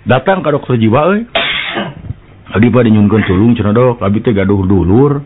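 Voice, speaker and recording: A Sundanese man with a Sundanese accent, telling comic folk jokes and breaking into laughter and high character voices. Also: lively intonation, moderate pace, background noise. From West Java, Indonesia. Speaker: comic storyteller. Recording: tinny phone-like sound with a live crowd.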